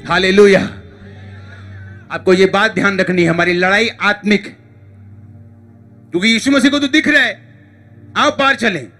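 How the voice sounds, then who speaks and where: A man's voice: high-pitched, measured pace, pauses often, pushed and bright, faint music behind. A middle-aged man preaching an impassioned sermon as a pastor, emphatic and exhorting, repeating phrases for effect. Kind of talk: impassioned church sermon to a congregation.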